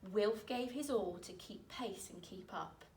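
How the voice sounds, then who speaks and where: A woman reading a rhyming children's picture book aloud in an expressive, dramatic way, with deliberate phrasing. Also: mid-range voice, slight background noise. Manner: dramatic, deliberate phrasing with emphatic swells and hushes. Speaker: storyteller reading aloud, teacher. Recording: roomy echo, distant microphone.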